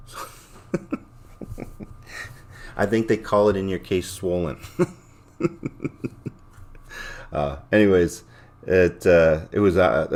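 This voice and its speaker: A man with a low-pitched, slightly worn voice hosts a livestream. He talks casually and loosely, rambling from point to point and reading out chat comments, with blunt language and swearing. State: voice a little worn, losing it. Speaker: livestream host.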